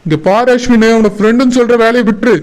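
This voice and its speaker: A man's voice: very high-pitched, steady tone, fast, heavy background noise. A man voicing a jealous, hot-tempered young groom-to-be who grumbles insistently in a village cartoon. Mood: angry, insistent. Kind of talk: cartoon voice acting in colloquial dialogue.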